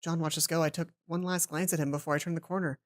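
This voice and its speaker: A woman's voice: sits low, steady tone, fast talker. A woman doing a let's play, reading a visual novel aloud and adding casual commentary. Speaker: let's player.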